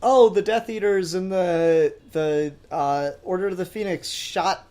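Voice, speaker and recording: A man's voice: sits high, unhurried, darkish tone. A man hosting a casual pop-culture podcast, talking informally with geeky enthusiasm and some mild swearing. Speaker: podcast host.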